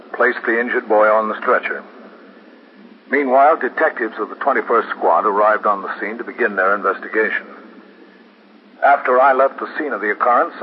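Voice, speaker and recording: An actor playing a steady police captain in a 1950s radio drama, with a measured, official, deadpan delivery. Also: male voice, on the low side, lightly acted. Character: steady, sober police captain narrating his own cases. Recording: dull, hissy 1950s radio broadcast.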